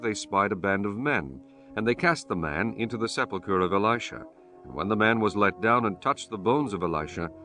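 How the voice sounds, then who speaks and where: Low-voiced man narrating scripture. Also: a bit bright. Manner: measured, formal reading. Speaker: audiobook narrator.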